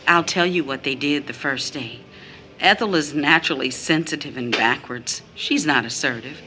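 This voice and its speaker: An actress playing a bitter, wounded mother in an audio drama, phrasing deliberately as she recounts her child's mistreatment. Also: low voice, sharp tone, a little hiss or hum. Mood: bitter, pained. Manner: deliberate phrasing, quotes others in mimicked tones.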